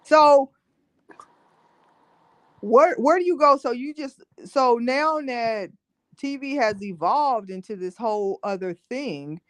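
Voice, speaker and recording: A woman's voice: a little high, slow pace, pauses often, a bit bright, no room sound, poor audio. A woman hosting a podcast, chatting casually and jumping in with questions. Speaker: podcast host. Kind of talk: casual chat.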